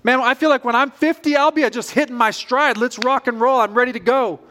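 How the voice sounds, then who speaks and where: A man's voice: very high-pitched, steady tone, a little fast, bright, forceful tone. A man in his forties preaching a sermon as a pastor in an informal, conversational storytelling manner. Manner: informal, self-questioning storytelling.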